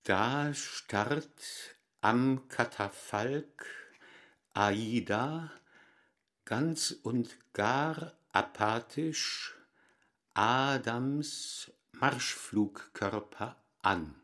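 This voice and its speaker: An actor in an experimental radio play playing a solemn reciter of absurd word lists with measured diction. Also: male voice, mid-range voice, a bit bright.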